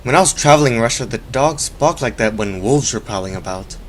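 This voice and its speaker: A man playing a protective young Victorian gentleman with a formal, indignant manner in a radio drama. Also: medium pitch, expressive, fairly quick, slight background noise, lightly acted. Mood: uneasy. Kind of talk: stage radio drama acting.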